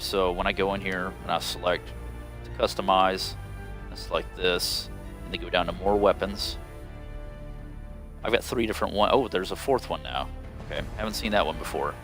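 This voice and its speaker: A man with a very low voice talks through a video game in a loose, meandering way, full of ums and restarts. He sounds a little stuffed up and nasal from a cold, and faint music plays quietly behind him. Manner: rambling, unhurried, many ums and restarts. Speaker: gaming video host. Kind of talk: casual gameplay commentary.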